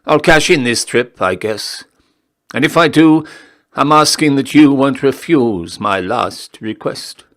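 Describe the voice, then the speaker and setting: A man reciting a ballad puts on a freezing, dying gold prospector. The voice is raised and pushed louder, moaning and insistent. He pleads slowly, in measured verse. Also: medium pitch, expressive, voice pushed up. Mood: resigned, pleading.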